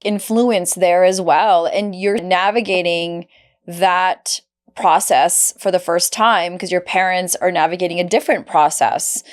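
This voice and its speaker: Woman, podcast host, lowish voice, gentle and encouraging interviewer. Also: steady tone. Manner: gentle, encouraging, quick questioning. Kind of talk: warm conversational interviewing.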